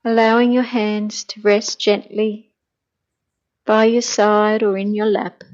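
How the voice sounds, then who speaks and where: Slow, mellow woman guiding meditation, muffled sound. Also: mid-range voice, flat delivery. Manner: gentle, soothing, hushed, breathy at times. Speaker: meditation guide. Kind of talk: guided meditation.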